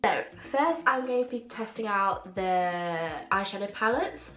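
A woman's voice: mid-range voice, measured pace. Young girl with a sore throat gushing over makeup, faint music behind. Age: young adult. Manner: gushing, excitable, many fillers. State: has a cold and sore throat. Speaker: beauty vlogger. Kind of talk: casual makeup review. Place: small room at home, indoors. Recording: echoey room with faint music.

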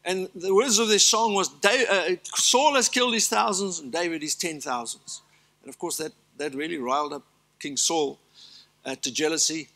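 Older south african pastor preaching on a church stage, bright voice, a bit high, stresses his lists. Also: normal speed. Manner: earnest preaching with emphatic lists and asides. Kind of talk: sermon.

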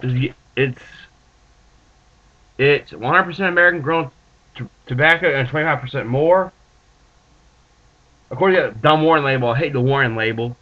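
A man in his twenties with a stuffed-up nose, rambling in a laid-back, halting way as he talks to his webcam in a small room with bookshelves. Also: medium pitch. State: stuffed up from allergies. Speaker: vlogger reviewing dip tobacco. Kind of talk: casual webcam vlog. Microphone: built-in webcam mic. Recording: muffled lo-fi webcam audio.

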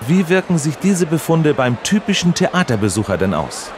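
An actor playing a polite, straight-faced male radio reporter, asking formal deadpan questions in a mock on-location report. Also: fairly high pitch, normal speed, noisy background.

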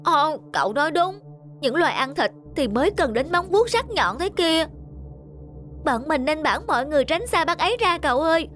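A woman's voice: sits high, animated, fairly quick, fairly bright tone, soft background music. A woman voicing a timid, gossipy young sheep in a children's cartoon, earnest and cautioning. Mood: conspiratorial.

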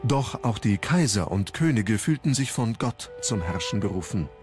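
Male documentary narrator, lowish register, measured storyteller reading from script, music bed underneath. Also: expressive.

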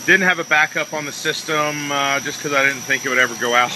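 A man's voice: a little high, a little fast. A man giving a casual, rambling video update on his fish tanks, with lots of fillers. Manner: relaxed, rambling with many fillers. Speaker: aquaponics hobby farmer. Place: indoor grow room with fish tanks, briefly outdoors. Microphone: phone camera held while filming. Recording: noisy phone recording with pump hum.

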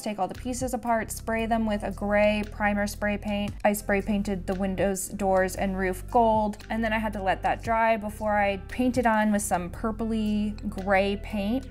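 A young woman narrates her vlog in a close voice-over, bubbly and gushing at a middle pitch. Faint music plays softly underneath her. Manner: enthusiastic, gushing. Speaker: vlogger.

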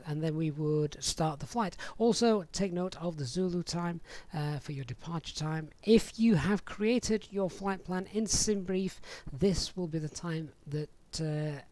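Man giving a casual software walkthrough. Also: on the high side, measured pace. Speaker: flight simulator streamer giving a tutorial. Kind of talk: casual software tutorial voice-over.

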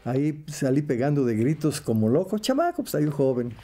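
A man's voice: fairly high pitch, very animated, brisk, warm tone, faint music behind. An older man with a Mexican accent, a veteran radio announcer reminiscing in an interview.

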